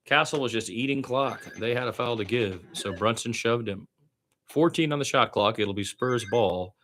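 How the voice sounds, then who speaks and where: A man's voice: medium pitch, moderate pace, fairly bright tone, a little hiss or hum. A man doing amateur radio-style basketball play-by-play in short clipped phrases, with opinionated asides as a partisan fan.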